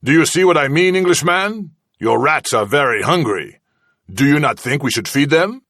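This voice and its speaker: A man's voice: fairly high pitch, expressive, moderate pace, a bit bright, no room sound, voice pushed up. A man voicing a cruel, sneering commander who taunts a prisoner in a mocking, singsong way. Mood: menacing mockery. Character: cruel sneering Spanish commander, menacing, toying.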